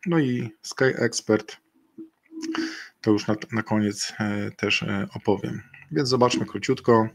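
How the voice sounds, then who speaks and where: Middle-aged man, gravelly webinar presenter on a webcam, halting delivery full of fillers. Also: fairly low pitch, steady tone. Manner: halting, many fillers and restarts. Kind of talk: slide presentation. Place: indoor room. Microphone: video call webcam.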